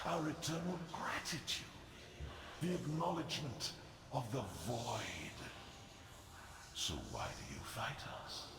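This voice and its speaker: An actor playing a solemn being of the void in a fantasy game, speaking theatrically and slipping into whispers. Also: male voice, medium pitch, background noise. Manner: slow, theatrical, breaking into whispers. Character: solemn male void being longing for oblivion. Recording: echoing game effect.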